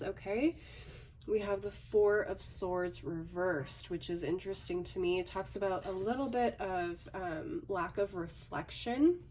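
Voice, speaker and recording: A woman doing a casual tarot reading, her voice a little raspy from a recent cold, often pausing with "okay" and "um". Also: medium pitch, a little mellow, far from the mic, a little hiss or hum. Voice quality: slightly raspy. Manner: thinking aloud with frequent "okay" and "um". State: hoarse from a cold. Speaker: tarot reader.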